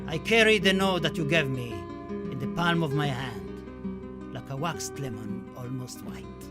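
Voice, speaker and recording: An actor playing a passionate, wistful Spanish poet looking back on his life and death in a theatrical, declamatory radio play monologue. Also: on the high side. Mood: solemn, tender. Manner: dramatic, declamatory, lingering over poetic phrases.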